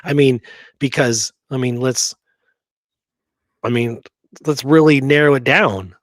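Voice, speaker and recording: A man's voice: medium pitch, takes it slowly, no room sound, studio quality. A man co-hosting a casual discussion, speaking emphatically and often checking in with short rhetorical questions. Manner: emphatic, rhetorical check-ins like "right?" and "okay?". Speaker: podcast co-host.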